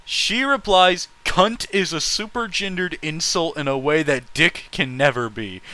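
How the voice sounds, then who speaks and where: A man's voice: on the high side, a little slow, bright, forceful tone. A man in casual, opinionated stream chat, talking bluntly and swearing freely.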